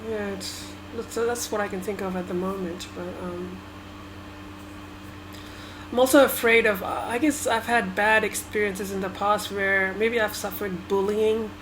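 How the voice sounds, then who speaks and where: A woman from Sri Lanka whose first language is Tamil, talking through her thoughts in a halting, hesitant way with many restarts. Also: mid-range voice, steady tone, noisy background. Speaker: vlogger. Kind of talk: personal reflective monologue.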